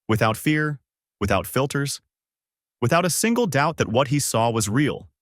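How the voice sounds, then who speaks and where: A man's voice: medium pitch, expressive, normal speed, no echo, voice pushed up, natural voice. A male narrator reads an earnest mother giving her account in a story. The delivery is that of narrated dialogue, recorded dry and close. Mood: earnest, moved. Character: earnest mother of a small boy, crime witness.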